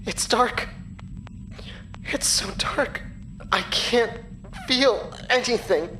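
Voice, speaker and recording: Terrified, disoriented, slow-speaking victim. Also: female voice, a little high, pushed and bright, slight background noise, full character voice, poor audio. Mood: dazed, afraid. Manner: halting, pleading. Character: terrified, disoriented woman trapped in darkness. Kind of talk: horror audio drama acting.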